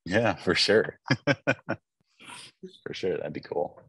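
A young man chatting casually before a webinar on a video call from a lecture hall, relaxed and chatty with many fillers. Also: low voice, slow, vocal fry. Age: young adult. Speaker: conference speaker. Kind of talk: casual pre-webinar chat. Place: front of a university lecture hall.

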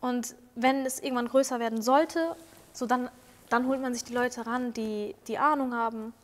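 A woman's voice: a little high, steady tone, normal speed, in a large hall. A young woman in her twenties, a singer as guest, chatting candidly in an interview with slangy words and many fillers, sitting on a sofa in an indoor lounge set. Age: 20s. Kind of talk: casual interview chat.

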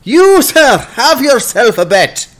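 A man voicing a brash, wry sidekick in a fantasy audiobook, speaking in an animated, urgent way. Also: very high voice, slow, exaggerated voice. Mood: cocky encouragement. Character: brash, cheeky male sidekick urging on a young adventurer. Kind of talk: dramatized fantasy audiobook acting.

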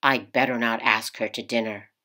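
A woman's voice: a bass voice, fairly even intonation, normal speed, pushed and bright, voice pushed down. A woman voicing an anxious, lovestruck young American sailor in a storybook, speaking earnestly and with emphasis. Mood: worried.

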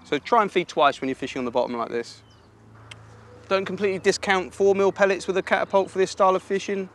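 A man's voice: high-pitched, fast talker. Man explaining fishing tips. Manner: relaxed explanatory, practical tips. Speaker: angling coach. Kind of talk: instructional voice-over and commentary. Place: outdoors at a lake.

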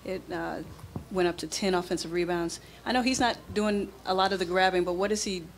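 A woman's voice: fairly low pitch, steady tone, fairly quick. A woman, a sports reporter asking a question at a press conference. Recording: noisy press room.

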